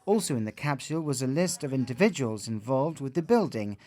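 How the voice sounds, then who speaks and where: A man's voice: medium pitch, animated, brisk, mellow, dry recording. A man narrating a scripted television news report as the reporter.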